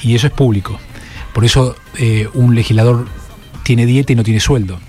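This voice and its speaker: A middle-aged man with an Argentine Spanish accent, answering interview questions as a political candidate and pausing often on fillers and restarts. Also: medium pitch, fairly even intonation, dark tone, soft background music. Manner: measured, with many fillers and restarts.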